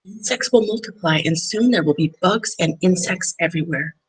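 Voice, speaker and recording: A woman voicing a curious mother in a picture-book read-aloud, asking gentle questions. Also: lowish voice, moderate pace, lightly acted, rough recording. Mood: questioning.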